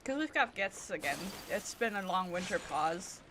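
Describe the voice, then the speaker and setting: A woman's voice: lowish voice, lively intonation, normal speed, background noise. A woman game streamer giving casual, playful live commentary with silly little asides. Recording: game music behind the voice. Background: video game music.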